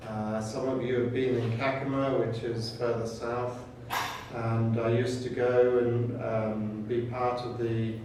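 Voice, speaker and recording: An older man from Kenya, a native Swahili speaker, giving an informal welcome talk to students in a measured way, in short phrases with hesitations. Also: medium pitch, flat delivery, recorded from a distance, noisy background. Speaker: program director welcoming students. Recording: echoey room recorded from afar.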